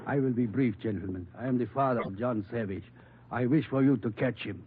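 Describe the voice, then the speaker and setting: A man's voice: medium pitch, natural voice. Actor as grieving immigrant father, old radio. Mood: grave, ashamed. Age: older. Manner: grave, formal, halting old-world phrasing. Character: grieving, ashamed immigrant father of a criminal, bitterly resolute. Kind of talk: 1950s radio drama acting. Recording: muffled, noisy old radio recording.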